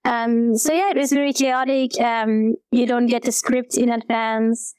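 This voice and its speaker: A woman's voice: fairly high pitch, fairly even intonation, a little slow, a little mellow, clean tone, no room sound. Hesitant woman actor from Belgium. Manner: hesitant, many fillers and restarts. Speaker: guest actor. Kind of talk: casual interview chat.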